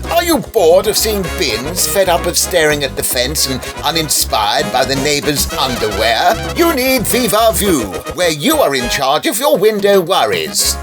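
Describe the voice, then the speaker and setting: A man's voice: a little high, very animated, a little slow, hardly any pauses, music in the background. A man with an English accent giving dramatic, scripted narration for a comic radio play.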